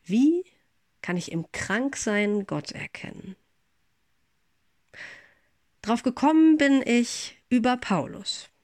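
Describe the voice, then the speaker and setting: A middle-aged woman pastor giving a calm spoken devotional, her voice a little congested as she recovers from an infection. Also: medium pitch, no room sound, studio quality. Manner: calm, gentle, reflective address to listeners.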